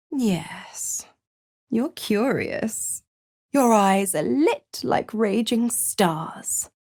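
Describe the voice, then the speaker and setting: An actress voicing a seductive, gloating devil patron in a video game, with a silky, condescending, theatrical manner of cruel teasing. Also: female voice, medium pitch, darkish tone, no room sound, studio quality. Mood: seductive whisper. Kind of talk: video game character voice acting.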